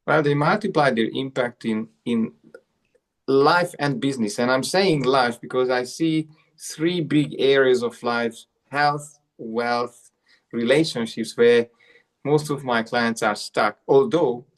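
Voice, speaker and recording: Man, from Hungary, 40s, interview guest, self-worth coach, repeats himself, mid pitch. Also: slow pace. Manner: repeats words and restarts, many uh fillers. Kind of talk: interview storytelling.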